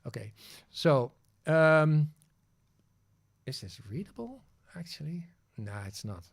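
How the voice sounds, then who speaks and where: A man's voice: on the high side, expressive, takes it slowly, gravelly. A man whose first language is Dutch hosts a livestream, walking through a tutorial on screen and thinking aloud, with frequent fillers and restarts. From Netherlands. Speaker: livestream host. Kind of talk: casual screen-share tutorial.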